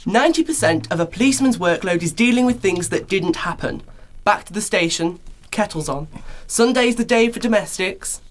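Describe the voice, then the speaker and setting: A woman playing a dry, world-weary police officer in a radio play, clipped and deadpan. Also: mid-range voice, moderate pace, slight background noise, lightly acted.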